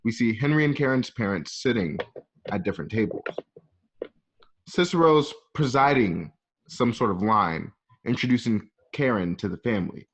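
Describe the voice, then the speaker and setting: A man informally narrating stage directions for a script in a halting, casual way. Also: medium pitch, expressive, slight background noise. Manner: halting, casual, present-tense scene description. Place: at home. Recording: dull, lo-fi home recording.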